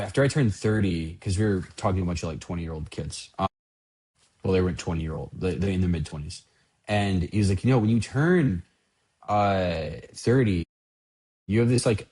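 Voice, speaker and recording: Husky, lowish man in his thirties, podcast host, animated, playful, full of questions. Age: 30s. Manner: animated, joking, asks lots of questions. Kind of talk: casual podcast chat.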